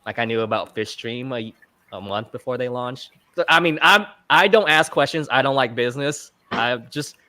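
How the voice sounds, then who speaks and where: A man's voice: medium pitch, lively intonation, moderate pace, bright, forceful tone, dry recording. Rambling, easygoing man on a dry call. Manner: rambling, filler-heavy, self-deprecating jokes. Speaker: guest, fish channel videographer. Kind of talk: casual livestream interview. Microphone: video call mic.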